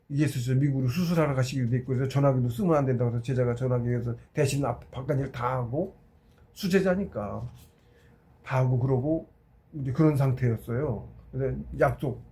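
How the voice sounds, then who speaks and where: A man in his sixties giving an informal, rambling talk, halting and circling back as he tells anecdotes. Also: medium pitch, dark tone. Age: 60s. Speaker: spiritual practitioner giving a talk.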